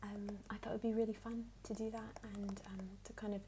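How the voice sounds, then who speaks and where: A woman from the United States with a put-on English accent, speaking softly and at times whispering as she unhurriedly answers questions for an ASMR video. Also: medium pitch, flat delivery, fairly quick, a little hiss or hum. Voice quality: soft, partly whispered.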